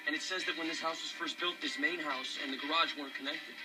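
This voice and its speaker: A young man playing an intent, suspicious teenage amateur sleuth in a thriller film, thinking out loud. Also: fairly high pitch, fairly even intonation, quick pace, lightly acted, rough recording. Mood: intent, puzzled. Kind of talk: naturalistic thriller film acting. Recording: film clip under loud music.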